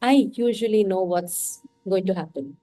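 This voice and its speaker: A woman's voice: mid-range voice, moderate pace, mellow, no echo, soft background music. A woman from India with an Indian English accent, a podcast guest speaking candidly and reflectively. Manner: reflective and candid, talks through her thoughts. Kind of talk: casual interview conversation.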